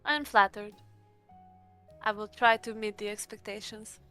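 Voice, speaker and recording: A woman's voice: mid-range voice, a little slow, bright, forceful tone, clean tone, soft background music. A woman playing a charming, ambitious female vampire in a tabletop game, genteel and poised in her polite talk. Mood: pleased, polite.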